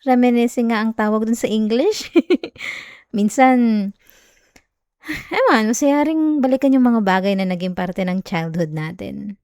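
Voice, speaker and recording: A woman with a Filipino accent playing a sweet, clingy young wife in an intimate ASMR roleplay, speaking softly and affectionately close to the mic. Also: fairly high pitch, lively intonation, a little slow, pauses often, no room sound. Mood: fond, reminiscing. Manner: soft, giggly, affectionate, coaxing. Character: sweet, clingy, teasing young wife soothing her partner. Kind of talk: intimate ASMR roleplay, bedtime chat and story reading. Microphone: close microphone, dry sound.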